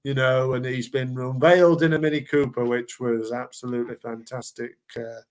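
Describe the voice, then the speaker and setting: A man's voice: mid-range voice, fairly even intonation, normal speed, clean tone. Rambling man chatting football. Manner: rambling, repeats words and uses fillers. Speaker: podcast co-host. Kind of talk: casual football chat.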